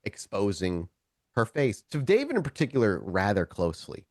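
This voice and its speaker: A man runs a tabletop roleplaying game in a very dry-sounding space. His pitch moves around a lot as he describes scenes conversationally, stopping for dramatic pauses and rewording as he goes. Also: huge pitch swings, darkish tone, no room sound. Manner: halting, self-correcting, dramatic pauses. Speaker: storyteller, game master of a tabletop roleplay show.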